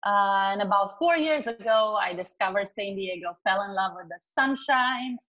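A woman from Romania with a Romanian accent, a career coach chatting as an interview guest in a quick, upbeat way. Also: medium pitch, expressive, a bit bright, rough recording. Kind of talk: interview guest, casual chat.

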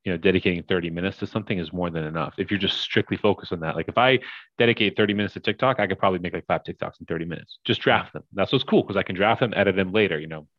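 A young man with a very low voice chats on a podcast, rambling and upbeat, full of "like" and "you know". The sound is dry and a little muffled, with no room around it. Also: very fast, no room sound. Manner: rambling, filler-heavy, upbeat. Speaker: podcast guest, crypto investor. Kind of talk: casual podcast chat.

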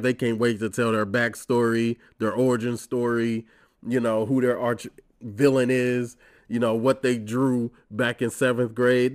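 A man in his twenties, congested from a cold, hosting his own podcast in a wry, profane, ranting casual monologue. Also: mid-range voice. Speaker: podcast host.

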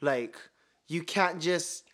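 A man's voice: fairly high pitch, slow pace. Laid-back man with a Hawaiian Pidgin accent. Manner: laughs often, relaxed and joking. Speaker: podcast co-host. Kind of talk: casual chat.

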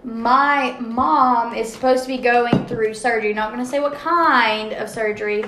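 A woman's voice: fairly high pitch, a little slow, a bit bright. A young woman co-hosting a casual podcast, joking and teasing her friends. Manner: joking, teasing, laughs a lot. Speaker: podcast co-host. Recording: echoey room, faint noise.

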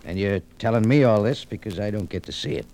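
A hard-boiled American adventurer of vintage radio drama. His voice is fairly low and mellow, with big swings of pitch under the clipped, wry delivery. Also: lively intonation, quick pace. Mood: defiant. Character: tough, wry, unflappable American adventurer telling his tale. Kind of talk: old-time radio detective drama, first-person narration and dialogue. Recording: vintage radio recording, faint music.

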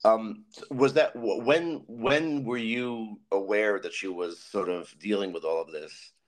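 Enthusiastic man with a low voice. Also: low-quality recording. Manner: enthusiastic, halting with many restarts. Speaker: podcast host. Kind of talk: casual interview chat.

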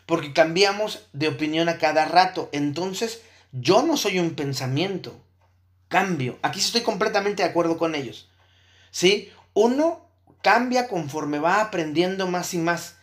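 A man's voice: a little high, animated, unhurried. A man giving an explanatory lecture on Buddhist ideas, often checking in with his listeners and thinking aloud as he goes. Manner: checks in with listeners with frequent tag questions.

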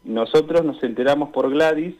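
A man's voice: a little high, moderate pace, darkish tone. A young man with an Argentine Spanish accent giving casual interview answers as a guest over a call. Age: young adult. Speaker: student guest, radio drama performer. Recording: dull, lo-fi call audio.

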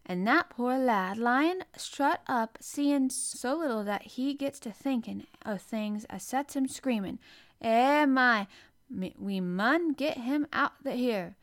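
A woman reads as a sunny young Yorkshire lad, drawling his broad dialect slowly and warmly, a bit louder than the narration around him. He sounds simple and glad about everything. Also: a little high, lively intonation, voice pushed up. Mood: enthusiastic. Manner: slow, broad rustic drawl. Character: cheerful Yorkshire country boy.

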